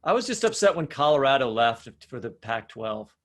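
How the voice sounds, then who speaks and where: A man's voice: medium pitch, big pitch swings, normal speed, fairly bright tone. An American man co-hosting a podcast, putting questions to a guest in a conversational interview style.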